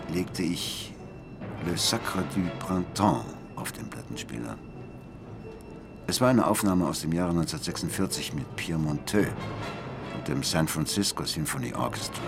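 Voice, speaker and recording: An actor playing a blind, worldly music critic in a radio drama, speaking eloquently and deliberately with bitter passion. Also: male voice, very deep voice, vocal fry, heavily acted. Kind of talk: radio drama dialogue and monologue. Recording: music behind the voice.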